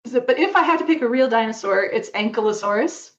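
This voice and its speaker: A woman's voice: fairly high pitch, animated, fast talker, dull audio. A middle-aged woman talking casually and candidly as a podcast guest, in a reflective way. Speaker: interview guest, fan fiction author.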